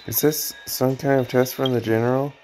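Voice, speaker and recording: A man's voice: medium pitch, steady tone, normal speed, darkish tone, rough recording. A man giving casual commentary as he plays through a video game. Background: game music.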